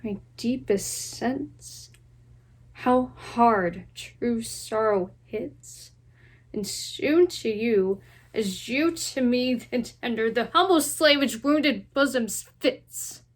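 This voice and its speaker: A woman giving a dramatic recitation of classical verse, deliberate and full of long pauses. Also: a little high. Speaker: student drama performer.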